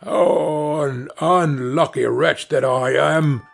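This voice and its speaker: A man's voice: a little high, slow, creaky, heavily acted. A man voicing a brutish, scornful, grumbling male carter in a fairy tale. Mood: lamenting.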